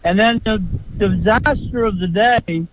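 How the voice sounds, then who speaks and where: Male caller, high voice, deadpan fishing report, muffled outdoor phone line. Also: normal speed. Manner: dry, matter-of-fact storytelling. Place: outdoors by a marina. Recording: dull phone-like line with noise.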